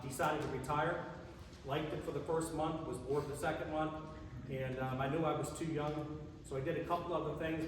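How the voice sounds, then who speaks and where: A man's voice: a little high, recorded from a distance. Man, 60s, retired principal, upbeat storytelling, long hall reverb, background noise. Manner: upbeat, storytelling, with some restarts. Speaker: township trustee candidate, retired school principal. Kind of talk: candidate introduction at a public forum. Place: large echoing public hall. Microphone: distant microphone.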